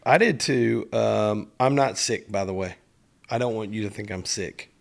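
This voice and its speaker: A man with a Southern US accent, a podcast co-host in casual chat, his voice scratchy and husky from vocal strain, with dry, teasing banter. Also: lowish voice, normal speed.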